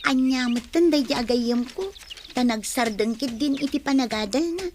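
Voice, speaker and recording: A woman's voice: high voice, normal speed, darkish tone, a little hiss or hum, natural voice. A woman playing an earnest, respectful young student in a radio comedy drama. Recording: music under the voice.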